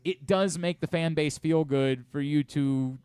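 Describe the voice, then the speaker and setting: Male sports radio host, clean close sound, clear voice, conversational delivery. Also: a little high, unhurried, a little mellow, no room sound. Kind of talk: casual sports talk.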